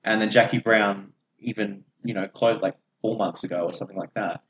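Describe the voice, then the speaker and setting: A man's voice: on the low side, fairly even intonation, fairly quick, fairly bright tone, muffled recording. Sweary young man in an echoey room. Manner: sweary and jokey. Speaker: podcast co-host. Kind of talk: casual film banter.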